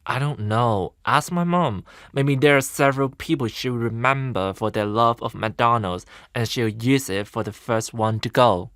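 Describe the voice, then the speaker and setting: A man's voice: mid-range voice, moderate pace, few pauses, fairly bright tone, no echo, clean studio sound, close to an everyday voice. A man acting a sceptical, hesitant young Hong Kong man in conversational drama dialogue. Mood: sceptical, casual. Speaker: actor in a student play.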